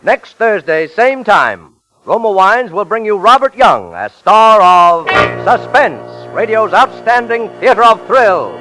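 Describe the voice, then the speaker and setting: A man's voice: sits high, very animated, slow pace, rough recording. A man formally announcing credits on a 1940s radio show. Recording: old 1940s radio with music, off-mic.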